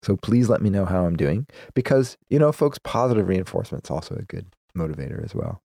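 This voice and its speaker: A man's voice: low-pitched, very animated, fairly quick, vocal fry, dark tone, no echo. A man co-hosting and producing a podcast in casual banter, laughing often and letting his voice trail off at the ends of thoughts. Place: podcast studio. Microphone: studio microphone. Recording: dry studio sound.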